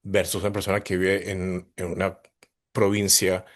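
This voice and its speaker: Calm man moderating. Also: low-pitched, moderate pace. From Colombia.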